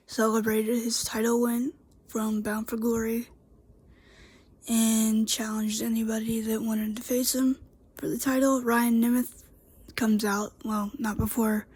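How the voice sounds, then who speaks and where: A woman's voice: a little high, a little mellow, husky, no echo, low-quality recording. A woman vlogger with a congested voice, recapping a wrestling show in a chatty, rambling way with many fillers and restarts. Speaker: YouTube wrestling reviewer. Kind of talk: casual solo show recap.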